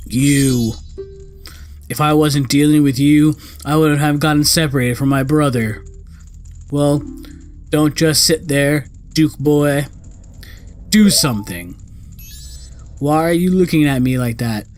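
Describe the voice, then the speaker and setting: A man's voice: on the high side, slow pace, pauses often, faint music behind. A man voicing a bossy, impatient little girl fairy from a video game, scolding and demanding.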